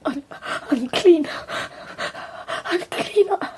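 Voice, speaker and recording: A woman playing a traumatised young Victorian wife in a radio drama, distressed, tremulous and halting, with faint noise behind. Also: very high-pitched, expressive, mellow, heavily acted. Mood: distraught.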